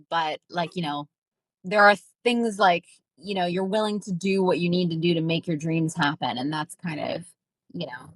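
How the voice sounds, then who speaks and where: A woman's voice: lowish voice, no room sound. Chatty young woman with a dry sound. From Minnesota, United States. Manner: rambling, filler-heavy, acts out quoted voices. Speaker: guest, ghostwriter. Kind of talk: casual interview answers.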